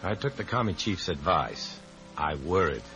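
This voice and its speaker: An actor playing a wary undercover agent in an old-time radio spy drama, speaking in a terse, sardonic way. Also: male voice, low voice, big pitch swings, moderate pace, music in the background. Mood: grim narration. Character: wary, hard-boiled undercover agent posing as a comrade.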